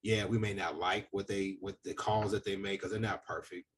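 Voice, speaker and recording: Deep husky-voiced man, earnest and reflective. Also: fairly even intonation, normal speed, distant mic. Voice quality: deep, booming voice. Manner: earnest, reflective, hesitant with fillers. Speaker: podcast host. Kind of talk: casual discussion.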